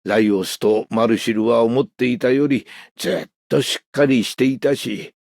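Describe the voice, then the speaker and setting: Man playing a gruff steady veteran warrior from a game, creaky voice, dad-like. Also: medium pitch, fast talker, no room sound, clean studio sound, close to an everyday voice. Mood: fond, reflective. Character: steady, fatherly seasoned warrior man, gruff old-fashioned speech. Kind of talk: Japanese game character voice lines.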